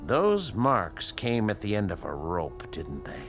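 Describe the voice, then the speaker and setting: A slow, expressive, earnest scientist. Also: male voice, lowish voice, music in the background, heavily acted. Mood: grave, probing. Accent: American. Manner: measured, articulate, questioning. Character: earnest scientist, educated, patient, morally probing male doctor. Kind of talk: radio drama acting.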